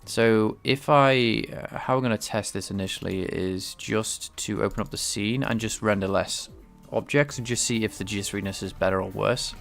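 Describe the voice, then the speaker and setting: A man with a low, gravelly voice talks through his code in a casual, halting way, often restarting his sentences. He sounds slightly stuffed-up and nasal, as if he has a light cold. Soft music plays faintly behind him. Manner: thinks aloud, hesitant, many restarts. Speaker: game developer streaming his work. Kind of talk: casual live-coding commentary.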